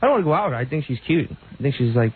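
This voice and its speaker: A man's voice: mid-range voice, very animated, rapid-fire, husky. A man, an intern on a radio show, chatting casually on a dull lo-fi recording. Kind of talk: casual chat.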